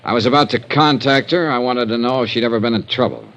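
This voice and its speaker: An American actor playing a wry, self-assured freelance insurance investigator in a 1950s radio detective drama. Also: male voice, mid-range voice, fast, fairly bright tone, muffled recording, natural voice. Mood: guarded. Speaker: lead actor and narrator. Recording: noisy vintage radio recording.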